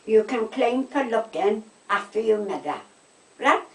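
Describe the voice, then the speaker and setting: An older woman with a South Wales Valleys accent, chatting casually and telling anecdotes in an animated way. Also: on the high side, measured pace. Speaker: storytelling interviewee. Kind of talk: casual chat, personal anecdotes. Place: indoors at a house. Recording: roomy echo, distant microphone.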